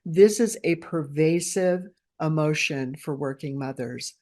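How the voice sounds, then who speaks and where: A middle-aged woman from the United States speaks as an interview guest. She explains her research in an earnest, measured way with academic phrasing. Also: deep voice, darkish tone. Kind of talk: conversational interview answer.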